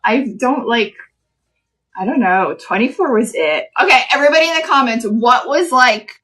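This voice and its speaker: Chatty higher-voiced woman in her thirties. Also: lively intonation, normal speed, a bit bright. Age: 30s. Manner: chatty, laughs often, explains steps. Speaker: craft live stream host. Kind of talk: casual chat while crafting live.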